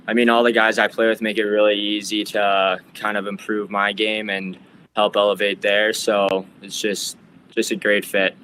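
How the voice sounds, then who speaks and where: Young male athlete, low monotone, faint room ambience. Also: a little hiss or hum. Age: young adult. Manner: modest, hesitant, uh-filled. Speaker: lacrosse player. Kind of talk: interview answers.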